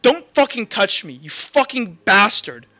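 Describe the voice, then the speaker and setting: Man voicing an embittered wife, high and forceful. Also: big pitch swings, measured pace, full character voice. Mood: furious, anguished. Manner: forceful, anguished, accusing. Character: embittered, furious wife and grieving mother. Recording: dull lo-fi audio under music.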